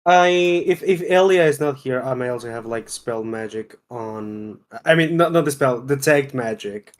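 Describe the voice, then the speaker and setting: A man playing a tabletop roleplaying game, speaking casually in a halting, tentative way. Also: mid-range voice. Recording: faint music behind the voice. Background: faint background music.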